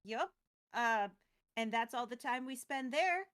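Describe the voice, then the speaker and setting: A woman's voice: fairly high pitch, animated, a little slow, fairly bright tone. A woman co-hosting a recap show, retelling a story casually with playful, wry, chatty asides. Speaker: co-host of a lore recap show.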